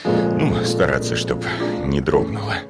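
An actor playing a hot-tempered, bombastic landowner who is smitten despite himself, in a classic comedy radio play. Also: male voice, extremely low pitch, animated, unhurried, over loud music. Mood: flustered, instructing. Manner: bombastic, volatile, exclamatory, sputtering.

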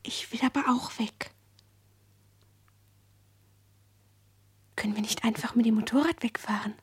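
A woman's voice: fairly high pitch, steady tone, fast, breathy edge, no room sound. An actress playing a dreamy, restless young girl in a radio play, wistful and pleading, often slipping into whispers. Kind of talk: 1970s German radio play acting.

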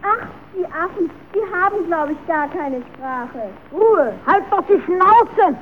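Casting: pushy, inquisitive youngster, high voice, radio play for children, thin hissy old sound. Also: female voice, expressive, slow pace, heavily acted. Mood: impatient. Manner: insistent, questioning, bossy. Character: curious, cheeky child at the zoo, sceptical and demanding. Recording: tinny, narrowband, hissy old radio recording.

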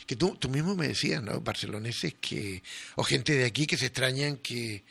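A man hosting a radio interview, asking questions in a halting way and repeating words as he frames them. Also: mid-range voice, vocal fry, a bit bright, slightly rough. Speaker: radio host.

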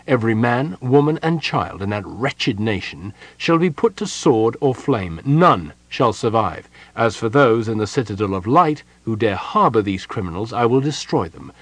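Audiobook role: a man plays a dutiful knight, deferential and earnest, with animated intonation. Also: mid-range voice, no echo. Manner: respectful, earnest.

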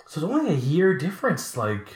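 A man in his late twenties chatting casually and talkatively, telling animated stories in a roomy, echoing space. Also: fairly high pitch, a little fast. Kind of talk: casual chat about collectibles. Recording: roomy, echoing room sound.

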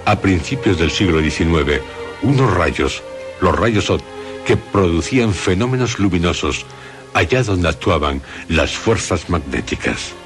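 A middle-aged man with a Castilian Spanish accent, hosting a radio drama in a solemn, deliberate, phrase-by-phrase narration over music. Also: low-pitched, expressive. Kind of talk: scripted introduction and narration.

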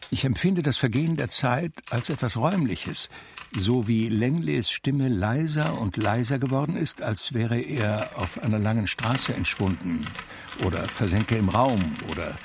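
An actor playing an ageing, melancholy recluse who recalls his life in measured literary radio drama narration with dry irony. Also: mid-range voice, vocal fry, background noise.

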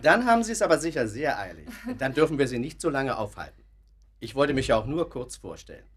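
Radio drama doctor: measured and factual, courteous yet blunt, wide pitch swings. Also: male voice, big pitch swings, fairly bright tone. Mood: polite, businesslike. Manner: measured, matter-of-fact, self-assured. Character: polite, blunt doctor, crusader against illusions. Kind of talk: German radio drama acting.

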